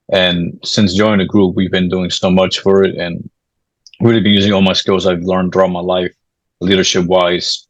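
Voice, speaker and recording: Low, flat-voiced young man from Peru. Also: no echo, muffled recording. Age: young adult. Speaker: guest, club vice president. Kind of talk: interview self-introduction.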